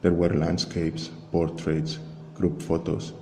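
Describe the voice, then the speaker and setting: Deep monotone man narrating in long reverb. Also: slow, gravelly. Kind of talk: slow scripted first-person narration, spoken-word art piece. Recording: echoey long reverb, faint hiss.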